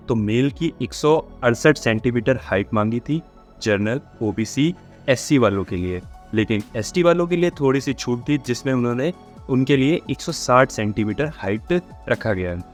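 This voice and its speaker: A man presents an informal, explanatory update in a mid-pitched voice. He drops English words into his speech as he addresses his audience of candidates. Music plays behind him. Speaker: host of a job updates channel.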